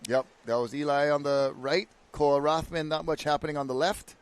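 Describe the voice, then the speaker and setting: A man from Hawaii, giving relaxed live surf contest commentary and slipping into local pidgin slang. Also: fairly high pitch, fairly quick, smooth. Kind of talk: live sports commentary, casual banter.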